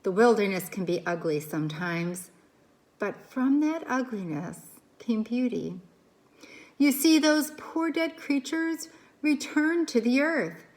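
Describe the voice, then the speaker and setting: A woman narrates a children's story in a fairly high, very lively voice. She takes her time like a teacher, pausing for drama and drawing young listeners in. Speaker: teacher reading aloud to class.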